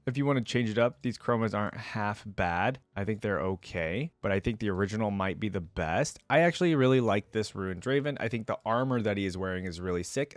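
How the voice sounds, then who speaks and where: A man's voice: fairly low pitch, no room sound. A man giving casual, off-the-cuff reaction commentary as a video host, thinking aloud in an informal, wryly bemused way.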